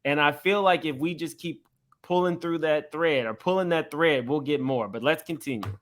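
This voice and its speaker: A man giving gossip commentary as he reacts to clips, spelling out his points in a measured, deliberate way. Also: on the high side, fairly bright tone.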